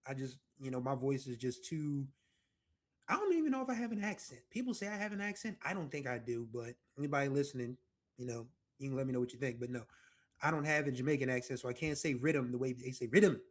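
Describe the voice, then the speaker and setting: A man's voice: medium pitch, animated, fast. A man in his forties from the United States, hosting a music show and rambling through casual commentary with asides and self-corrections. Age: 40s. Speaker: music podcast host.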